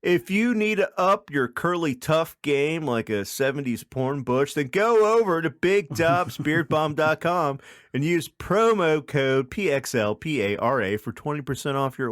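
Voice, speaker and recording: A man co-hosting a comedy podcast, reading and chatting in a halting, crude, jokey way. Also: fairly high pitch. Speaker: podcast co-host. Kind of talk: comedic story reading and casual chat.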